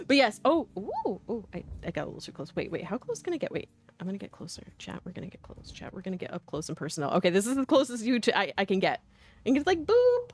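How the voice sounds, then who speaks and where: A woman livestreamer rambling casually to her viewers in a playful, goofy way, full of slang and silly exclamations. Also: medium pitch. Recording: music playing behind the voice.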